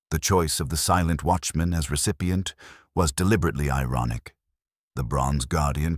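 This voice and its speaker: A man narrating a detective story in a formal, measured, scripted audiobook style. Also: low voice, no echo, studio quality. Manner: formal, measured, wordy delivery.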